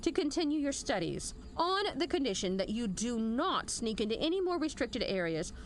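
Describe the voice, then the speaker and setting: Woman acting as a commanding, high-voiced female general. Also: big pitch swings, normal speed, a little hiss or hum. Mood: commanding, measured. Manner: formal, authoritative briefing delivery. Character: stern, commanding female military general, measured and dryly wry. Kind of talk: scripted audio drama acting.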